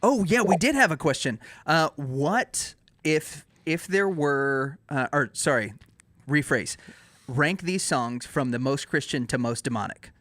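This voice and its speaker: Bright-voiced man co-hosting a podcast. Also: a little high, lively intonation, measured pace, no echo. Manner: jokey, enthusiastic, informal. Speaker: podcast co-host. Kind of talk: casual chat.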